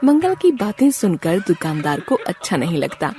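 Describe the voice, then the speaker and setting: A woman's voice: on the low side, sing-song, normal speed, warm tone, soft background music. A woman narrator reading a scripted moral story.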